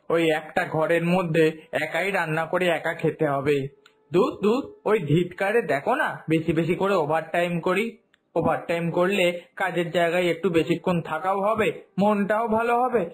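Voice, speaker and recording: A man voicing a homesick, hardworking son in a cartoon drama, earnest and complaining, with a husky voice. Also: fairly high pitch, normal speed. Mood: weary, homesick. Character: homesick, hardworking son working far from home. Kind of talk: Bengali cartoon drama dubbing.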